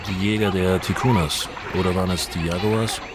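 A man's voice: deep voice, steady tone, fairly quick, natural voice. A man playing an evasive, laconic local in a river bar, giving clipped answers in a radio drama.

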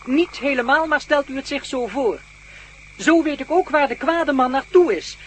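A calm, high-voiced alien. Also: male voice, a little hiss or hum. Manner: measured, formal, explaining. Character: calm male alien being, telepathic.

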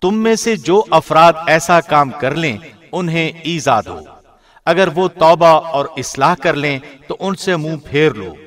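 A man reads translated scripture from a script. His delivery is formal and measured, like a broadcaster's, in a moderately high voice. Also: expressive, a bit bright. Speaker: translation reader, radio host.

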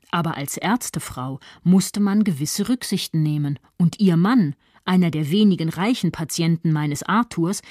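Low-voiced, husky, smug social climber. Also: female voice, dark tone, no room sound, clean studio sound. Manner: self-important, gloating, gossipy. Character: smug, spiteful doctor's wife, opportunistic 1930s social climber. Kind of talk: radio literary monologue reading.

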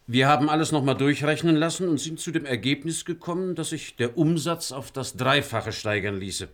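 Smooth, calculating company manager. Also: male voice, on the high side, normal speed, a bit bright. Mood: persuasive, insistent. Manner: polite, insinuating, businesslike. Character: smooth, calculating male corporate manager pressing a deal. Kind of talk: German radio drama acting.

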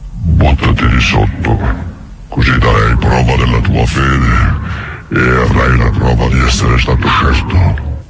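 Gravelly, extremely deep devil. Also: male voice, steady tone, over music, exaggerated voice. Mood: menacing, commanding. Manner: slow, commanding. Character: sinister devil tempter, commanding and slow.

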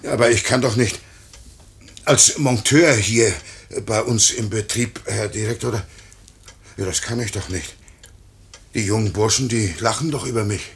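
An actor in a 1950s radio play voicing a broken foreman in his fifties, halting, stammering and repeating himself. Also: on the low side, slightly rough, exaggerated voice. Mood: desperate pleading. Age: 50s. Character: broken, pleading 58-year-old aircraft works foreman.

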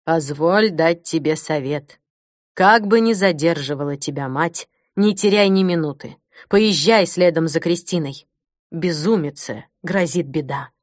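A woman playing a wise, grave old foster mother in an audiobook, speaking in a measured, solemn way. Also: sits low, no echo, clean studio sound. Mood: urgent counsel. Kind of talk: audiobook character acting.

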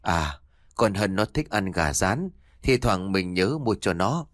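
A man's voice: low-pitched, lively intonation, slow, a bit bright, no echo, clean studio sound, exaggerated voice. A man voicing a frail dying wife who speaks in a faint, whispery way.

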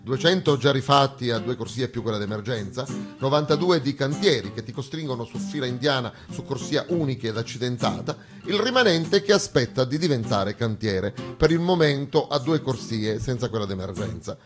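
A man delivers a theatrical comic monologue with emphatic, ironic phrasing and dramatic pauses. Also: medium pitch, lively intonation, music in the background. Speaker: stage actor performing a satirical monologue.

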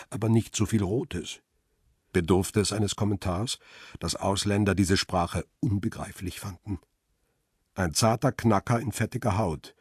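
An actor playing a world-weary paparazzo who narrates his own crime story in a radio drama, wry and understated, with a husky voice. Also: lowish voice, a little mellow, no room sound, studio quality. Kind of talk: first-person radio drama narration with dialogue.

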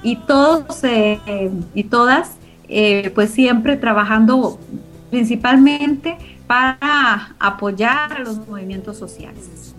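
A woman's voice: a little high, slow, fairly bright tone, soft background music. A middle-aged woman, giving reflective, explanatory answers as an interview guest. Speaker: interview guest, organization founder.

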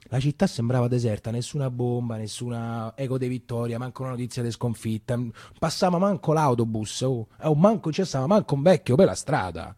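An actor playing a Roman man recalling his wartime boyhood in an emotional, colloquial, halting theatrical monologue with a Roman accent. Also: medium pitch, mellow, dry recording, studio quality, lightly acted. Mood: somber, reflective. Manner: colloquial, halting, repeating himself. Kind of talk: theatrical first-person monologue in dialect.

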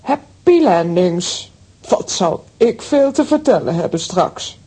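A woman acting an excitable, exclamatory female character in a children's audio drama. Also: slow. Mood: excited. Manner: theatrical, exclamatory. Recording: faint noise and low rumble.

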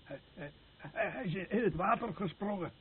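An actor playing an exhausted, fearful survivor, a fisherman, speaking haltingly and repeating words in a radio play. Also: high-pitched, close to an everyday voice. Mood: shocked. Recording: muffled, noisy old radio play recording.

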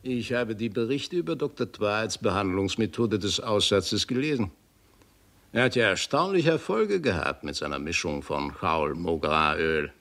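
An actor playing a friendly, skeptical old doctor who explains things in a scholarly, deliberate way in a 1960s German radio drama. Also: male voice, on the low side, fairly bright tone. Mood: thoughtful skepticism. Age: older. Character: friendly old doctor, skeptical, learned leper-clinic director.

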